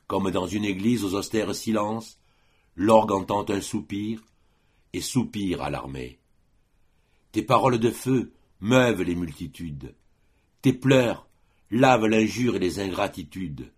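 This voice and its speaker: Male audiobook reader for solemn verse: low-pitched, rough-edged voice, measured declamation with room between phrases. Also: lively intonation. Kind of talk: solemn poetry recitation.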